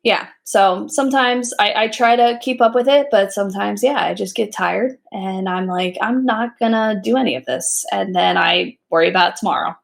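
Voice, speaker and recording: A woman's voice: medium pitch, normal speed, vocal fry, hardly any pauses. A woman co-hosting a podcast, chatting casually and laughing often. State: pregnant. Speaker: podcast co-host. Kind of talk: casual chat.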